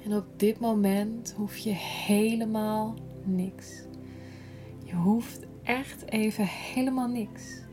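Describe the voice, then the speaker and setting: A woman guiding a yoga nidra relaxation in a gentle, soothing, unhurried manner, breathy at times. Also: mid-range voice, fairly even intonation, over music. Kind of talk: slow guided relaxation meditation.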